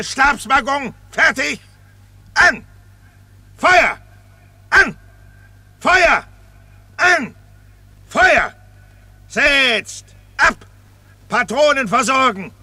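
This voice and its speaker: An actor in a German radio play, playing a stern drill sergeant who barks out clipped rifle commands. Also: male voice, very high-pitched, bright, forceful tone, no echo, heavily acted. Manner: barking, clipped military commands.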